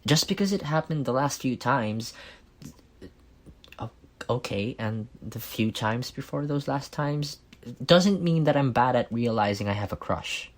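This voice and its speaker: A man acting a reserved, analytical young man in an intimate ASMR romance roleplay, speaking softly and deliberately with some hesitation. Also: medium pitch, lively intonation, natural voice. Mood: flustered, apologetic. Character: reserved, analytical young man confessing love to best friend.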